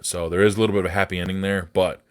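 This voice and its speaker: An American man speaks in a low, bassy voice, recorded dry with very little room sound. He talks like a podcast host airing a personal grievance, unscripted and blunt. His delivery is indignant and peppered with swearing. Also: level tone, racing, clean tone, no echo.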